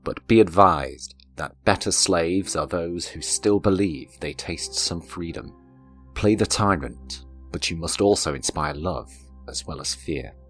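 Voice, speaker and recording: A man's voice: low voice, unhurried, faint music behind. A man voicing a wise, very old king in a storybook tale, solemn and commanding as he gives counsel.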